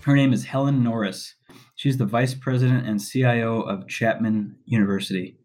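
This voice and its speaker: Male podcast host, mid-pitched, affable interviewer, faint music bed. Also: steady tone, normal speed, a little mellow. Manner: friendly, with fillers. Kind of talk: interview hosting.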